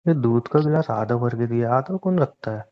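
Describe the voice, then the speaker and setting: A man's voice: mid-range voice, lively intonation, moderate pace, lightly acted. A young man playing a teasing, boastful newlywed husband in casual, bantering audio-drama dialogue. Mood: mock exasperated. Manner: informal, bantering. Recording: dull lo-fi phone-call style audio.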